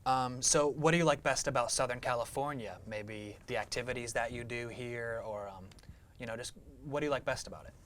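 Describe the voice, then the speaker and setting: A man's voice: mid-range voice, brisk, hardly any pauses, fairly bright tone, a little hiss or hum. A young man hosting a student-made interview, reading friendly, scripted questions. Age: young adult. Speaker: host.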